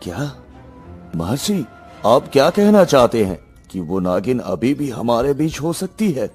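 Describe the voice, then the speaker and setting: A man's voice: mid-range voice, very animated, measured pace, darkish tone, slightly rough, soft background music. A man playing an earnest king in a cartoon story, speaking formally and emphatically. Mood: alarmed. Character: earnest male king, deferential to his sage.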